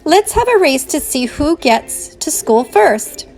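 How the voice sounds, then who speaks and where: A modest, good-natured young tortoise in a storybook, read by a woman. He is calm and steady, with a fairly high voice over faint music. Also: slow pace, vocal fry, exaggerated voice. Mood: calm, determined.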